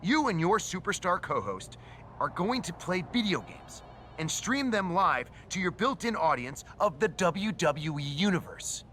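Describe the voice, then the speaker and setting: Slick promoter in a game, bright highish voice, swoopy and persuasive, faint noise behind. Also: male voice, big pitch swings, unhurried, full character voice. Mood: dismissive, selling. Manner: emphatic, smooth-talking, persuasive. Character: slick, self-assured male showbiz promoter, smooth persuader. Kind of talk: scripted video game cutscene acting.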